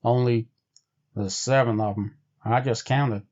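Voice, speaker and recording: A man's voice: medium pitch, steady tone, unhurried, a little mellow, muffled recording, lightly acted. A man voicing an uneasy, grumbling frontier sled driver with folksy speech, in a storybook reading. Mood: puzzled, troubled.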